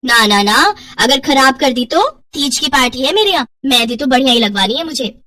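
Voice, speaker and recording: A woman's voice: high voice, expressive, moderate pace, bright, forceful tone, no echo, distant mic, exaggerated voice. A woman voicing a bossy, fussy, well-off housewife in a story, blunt and informal.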